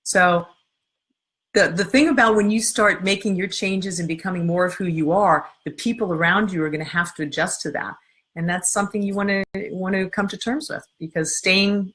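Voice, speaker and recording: A woman coaching an audience live, explaining her steps calmly and earnestly. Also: lowish voice. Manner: strong voice. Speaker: coach and workshop leader. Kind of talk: live-streamed talk answering viewer comments.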